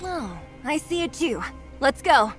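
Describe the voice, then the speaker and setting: Earnest, higher-pitched young adventurer. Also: female voice, very animated, measured pace, soft background music. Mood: resolute. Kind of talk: video game voice acting.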